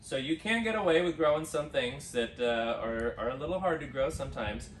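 A middle-aged man who is an organic farmer, answering interview questions in a relaxed, plain-spoken way with many fillers, recorded outdoors on a farm. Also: mid-range voice, fairly quick, a little hiss or hum. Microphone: camera mic from a distance. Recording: roomy, distant outdoor sound.

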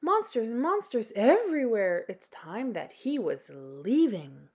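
Slow, animated Canadian woman reading to children. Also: on the high side, breathy edge. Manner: animated, deliberate, dramatic emphasis. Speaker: children's storytime host. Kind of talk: expressive children's picture book read-aloud.

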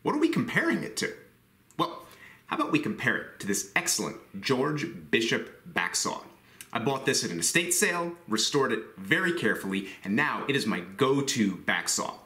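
Lively, bright-voiced woodworking host. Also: male voice, medium pitch, moderate pace. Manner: enthusiastic, blunt, jokey asides. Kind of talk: scripted tool review to camera. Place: woodworking shop. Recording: roomy workshop echo.

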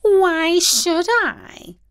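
A woman voicing a skinny, hungry house cat in a storybook reading, with a whining, drawn-out manner. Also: very high voice, animated, a bit bright, pitched above the performer's own voice, exaggerated voice. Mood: whiny.

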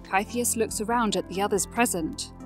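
A woman's voice: mid-range voice, moderate pace, music in the background. A woman narrating a scripted fantasy audio drama.

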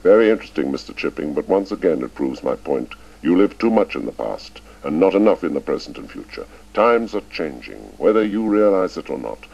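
A man's voice: a bass voice, creaky, darkish tone, slightly rough, exaggerated voice. A man voicing a cold, stern middle-aged headmaster who speaks in a clipped, formal way. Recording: dull lo-fi recording.

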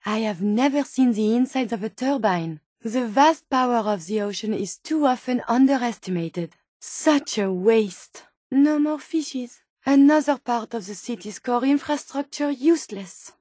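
A polite female robot scientist from a video game, speaks in a high, dry-recorded voice. She is earnest and naive, choosing formal, precise words and full of curiosity about the world. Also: measured pace, hardly any pauses, dark tone, dry recording. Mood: saddened. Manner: formal, precise wording with wide-eyed wonder. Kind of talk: scripted game companion dialogue.

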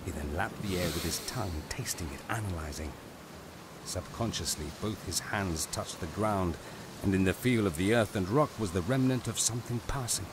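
A man narrating a scripted audio drama in a grave, deliberate, dramatic way. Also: low voice, noisy background.

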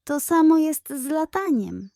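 A woman's voice: sits high, expressive, measured pace, vocal fry, warm tone, dry recording, clean studio sound, pitched above the performer's own voice, exaggerated voice. A woman voicing a kindly female seagull, a patient and encouraging mentor in a children's bedtime story. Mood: gently encouraging.

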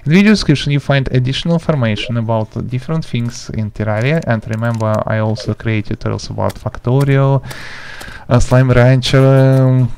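A man, speaking in halting, simple step-by-step instructions as he hosts an informal video game tutorial. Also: mid-range voice, gravelly, darkish tone, slight background noise. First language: not English. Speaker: gaming tutorial host. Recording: music behind the voice. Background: background music.

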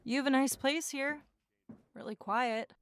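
A woman's voice: high voice, unhurried, slight background noise. An actress playing a persistent, cagey woman intruder in an audio drama, wry and insistent with a pleading edge. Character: persistent, cagey woman intruder seeking allies, former schoolgirl. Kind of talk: naturalistic audio drama acting.

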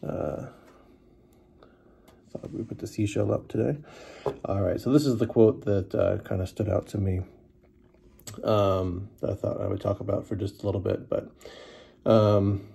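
A man giving a relaxed, casual history lesson to the camera, with many fillers, unhurried. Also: lowish voice, gravelly, husky. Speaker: teacher.

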